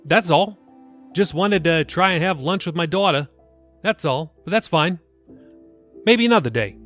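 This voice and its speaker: An audiobook pastor and dad in middle age, acted by a man, speaks in a lifted, higher voice. He is warm and teasing, with an upbeat, coaxing manner. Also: expressive, unhurried, faint music behind. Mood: wistful, coaxing. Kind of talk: audiobook character dialogue.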